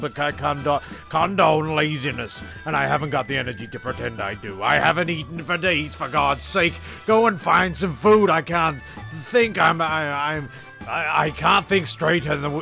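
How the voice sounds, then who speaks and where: Man, comic narrator, raspy and bright, rambling. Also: on the high side, moderate pace, soft background music. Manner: rambling, stammering asides. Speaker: narrator and creator.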